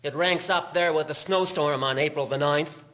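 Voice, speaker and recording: A male actor plays a kindly passer-by turned rescuer in an audio drama, urgent yet reassuring. His voice is high for a man, with long reverb and clear hiss around it. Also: moderate pace, a bit bright, close to an everyday voice. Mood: relieved, earnest.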